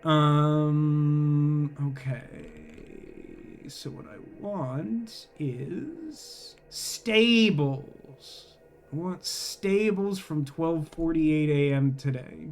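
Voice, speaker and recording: A man's voice: on the high side, big pitch swings, very slow, gravelly. A man hosting a gaming livestream, chatting casually to viewers and thinking aloud in a rambling way. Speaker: livestream host, gamer.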